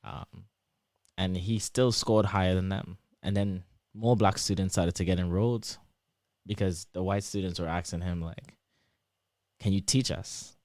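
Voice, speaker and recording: A young man whose Trinidadian accent drifts toward American, a guest explaining things in a lively, casual way with lots of examples and fillers. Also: sits low, a little slow, no echo, clean studio sound. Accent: Trinidadian shifting toward American. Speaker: interview guest, PhD student.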